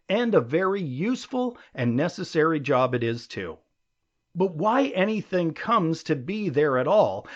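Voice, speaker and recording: A man narrating an essay audiobook in a measured, explanatory way, with emphatic phrasing. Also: fairly high pitch. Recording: dull, lo-fi audio.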